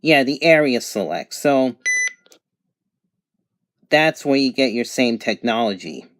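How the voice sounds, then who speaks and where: A person giving a casual product review and demonstration in a halting, hesitant way. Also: medium pitch, lively intonation, fairly bright tone, dry recording, clean studio sound. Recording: clear background noise, amateur home recording.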